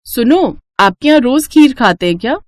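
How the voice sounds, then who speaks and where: A woman's voice: sits high, animated, normal speed, no room sound. A woman voicing a worried, caring young daughter-in-law in a moral story. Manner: concerned, earnest.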